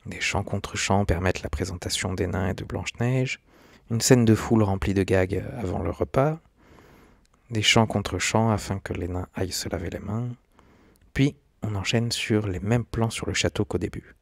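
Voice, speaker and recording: A man's voice: on the low side, normal speed, creaky, no echo, clean studio sound. A man giving scripted, documentary-style narration as a video essayist.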